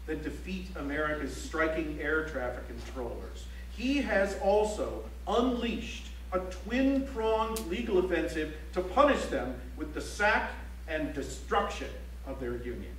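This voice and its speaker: A man playing a high-pitched, formal news reporter. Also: very animated, a little slow, a bit bright. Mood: declarative. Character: male news reporter reciting strike reports in measured tones. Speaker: stage actor. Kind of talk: theatrical recitation of news reports. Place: library auditorium stage, live play. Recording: echoey auditorium from far off, faint hum.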